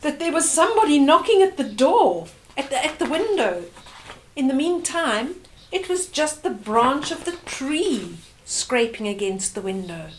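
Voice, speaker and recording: An older woman reading a bedtime story aloud to young children, gently and with asides and questions to the listener. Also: high-pitched, very animated, a little slow, slight background noise, rough recording.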